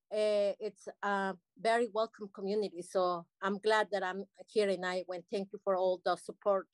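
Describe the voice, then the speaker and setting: Mexican-accented woman, Spanish native, earnest panel guest on a video call, dead dry sound, mid pitch. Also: no room sound, low-quality recording. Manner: earnest, simple phrasing with frequent fillers. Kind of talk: personal migration story on a video call.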